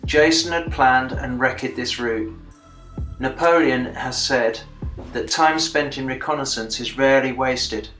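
A man's voice: mid-range voice, a little slow, fairly bright tone, echoey room, soft background music, poor audio. A British man in his sixties, a veteran narrating a video diary of a commemorative bike ride in a plain-spoken, dryly understated way. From Britain. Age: 60s. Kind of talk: reflective voice-over narration.

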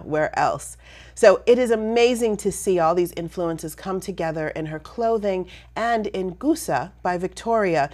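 A woman's voice: mid-range voice, a little mellow. A woman in her thirties hosting a community TV arts show in a studio, introducing her guest with enthusiastic, effusive praise. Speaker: host. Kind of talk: TV interview host. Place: TV studio set.

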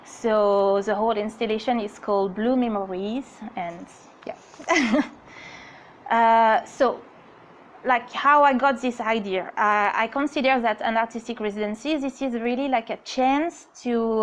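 Woman, 30s, French accent, warm apologetic presenter, slightly higher voice, far mic in a courtyard. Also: slight background noise. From France. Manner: friendly, laughs nervously, apologetic. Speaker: artist giving a talk. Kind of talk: presentation reading from notes. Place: outdoor courtyard on stone steps.

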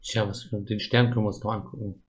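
A man's voice: on the low side, flat delivery, fast talker. A man talks through a game he is playing. His delivery is casual let's-play chat, as on a stream.

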